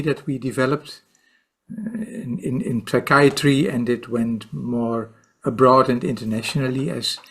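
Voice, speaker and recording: Guest, Dutch man, 60s, unhurried, hesitant, lots of fillers and restarts. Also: mid-range voice. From Netherlands. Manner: slow, halting, with many repeated words and fillers. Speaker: guest, meditation teacher and author. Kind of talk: podcast interview.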